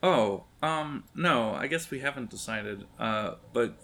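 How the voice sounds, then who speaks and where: A man's voice: mid-range voice, animated, measured pace, vocal fry, bright, forceful tone. A man narrating a tabletop game as game master in a casual, rambling, improvised way. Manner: rambling, improvising, hesitant phrasing.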